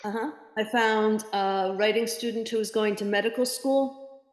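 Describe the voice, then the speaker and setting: A woman's voice: medium pitch, fairly even intonation. American woman in an echoey room. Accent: standard American. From New York area. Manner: halting, many fillers. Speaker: freelance ESL teacher and editor. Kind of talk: casual conversation with a client. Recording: echoey room with long reverb.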